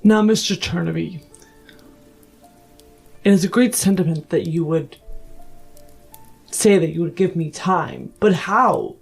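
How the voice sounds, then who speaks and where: A woman voicing a bearded, wealthy male magnate who is polite but skeptical, speaking in deliberate, formal phrases. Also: on the low side, animated, vocal fry, husky, faint music behind, heavily acted. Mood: skeptical, challenging.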